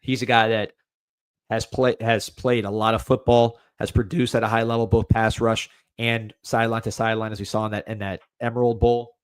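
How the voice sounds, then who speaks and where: A man's voice: lowish voice, level tone, brisk, smooth, no room sound. A young adult man with a light Cuban-American accent hosting a casual livestreamed sports podcast, rambling with restarts and a lot of "man.". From United States. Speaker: podcast host.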